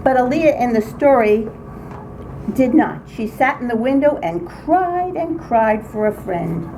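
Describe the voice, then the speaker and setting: An older woman reads her own humorous personal story aloud in an expressive, dramatic way, with emphatic phrasing. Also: on the high side, a little slow. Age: older, grandmother. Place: indoors, writing group meeting room. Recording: very noisy small-group room recording. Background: clear background noise and room ambience.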